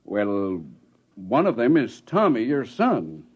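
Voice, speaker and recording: An actor playing a hearty, coaxing, opportunistic newspaper publisher in a 1950s radio drama. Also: male voice, medium pitch, huge pitch swings, takes it slowly, husky, full character voice. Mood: eager, coaxing. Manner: coaxing, enthusiastic. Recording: dull 1950s radio recording.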